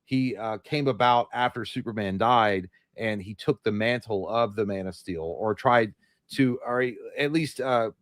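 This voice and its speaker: A man with a naturally deep voice, co-hosting a live movie chat with blunt, profane banter. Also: no room sound, clean studio sound. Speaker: podcast co-host.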